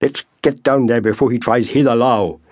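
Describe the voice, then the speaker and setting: Sly flowery sergeant, as a male reader puts him on, husky, dry, lively pitch. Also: normal speed, dry recording, natural voice. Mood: scornful. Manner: elaborate mock flattery.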